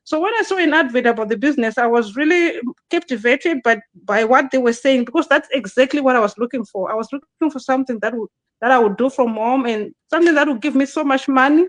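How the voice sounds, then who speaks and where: Woman host, higher voice, dry close sound, casual restart-filled live interview talk. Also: fast talker, no room sound. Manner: chatty, frequent restarts and fillers. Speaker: host, digital business consultant.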